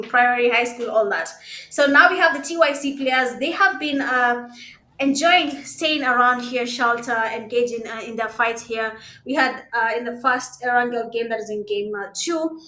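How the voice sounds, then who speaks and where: A Kenyan woman whose first language is Swahili, giving live esports commentary in a conversational, hesitant way with pauses. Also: fairly high pitch, sharp tone. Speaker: esports caster. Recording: roomy, echoing sound.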